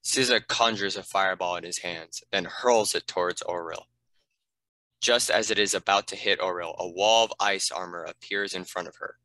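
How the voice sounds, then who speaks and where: A man's voice: low-pitched, steady tone, moderate pace, pushed and bright, no echo, poor audio. A man reading scripted narration and stage directions for a fantasy script read.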